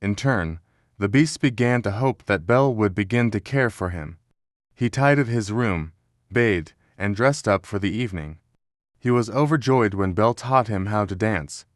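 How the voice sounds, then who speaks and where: A man narrating a scripted children's story in a measured, dramatic storytelling manner. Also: on the low side, dry recording, clean studio sound.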